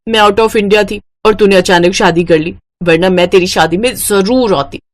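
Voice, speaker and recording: A woman's voice: mid-range voice, moderate pace, natural voice. Woman voicing a coy, scheming young bride. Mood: gushing. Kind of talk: Hindi moral-story cartoon acting.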